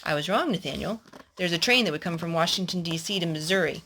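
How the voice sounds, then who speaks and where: A woman's voice: lowish voice, quick pace, heavy background noise. A woman storyteller reading a historical children's story aloud to a young listener.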